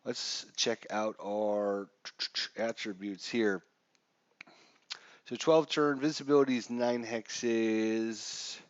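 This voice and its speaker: A lower-voiced man with a stuffy, congested nose, chatting casually and filling pauses as he walks through a game setup. The audio is dry and a bit dull, like a lo-fi stream mic. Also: no echo. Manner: casual, chatty with frequent fillers. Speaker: live stream host. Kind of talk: live wargame walkthrough.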